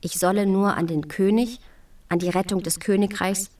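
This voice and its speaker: A woman's voice: medium pitch, fairly even intonation, normal speed, darkish tone, lightly acted. A woman playing a dignified, determined queen who recounts events earnestly and formally in a historical radio drama. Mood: composed, formal. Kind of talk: dramatized reading of historical memoirs.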